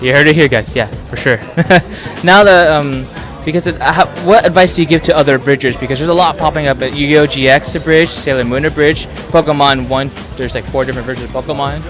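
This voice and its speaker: Higher-voiced casual male interviewer. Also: fairly quick, a bit bright, soft background music. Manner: loose, rambling questions with many "like"s. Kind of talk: casual chat.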